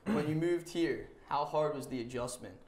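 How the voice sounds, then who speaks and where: A man's voice: on the high side, moderate pace, darkish tone, echoey room. A young man hosting a student sports interview show, asking friendly, brief questions. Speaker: host.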